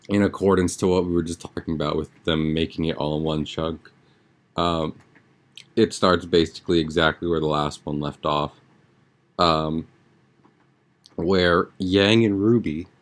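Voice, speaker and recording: A young man chatting casually as a podcast host, with an informal, slangy, rambling way of talking. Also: sits low. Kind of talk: casual podcast chat, episode recap.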